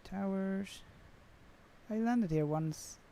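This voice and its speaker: Swedish guy streaming a flight sim, chatty, lots of uhs, really gravelly voice, mellow tone. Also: sits high, drawn-out words, a little hiss or hum. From Sweden. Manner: chatty, reads out chat comments, hesitant with many fillers. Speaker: livestreaming flight sim host. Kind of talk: casual livestream chat.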